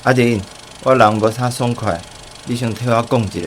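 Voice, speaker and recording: Man as a blunt Taiwanese master, medium pitch. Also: fairly even intonation, normal speed, slight background noise, lightly acted. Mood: weary, reassuring. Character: adult male cinema narrator, weary master to apprentice. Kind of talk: radio drama acting.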